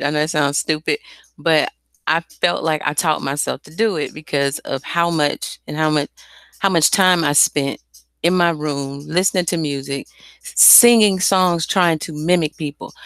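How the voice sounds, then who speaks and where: A woman's voice: low-pitched, a bit bright, no echo. A woman with a light, airy voice chatting casually and candidly about her music, informal and slangy, swearing freely. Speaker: singer and songwriter. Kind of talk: casual, unscripted chat about making music.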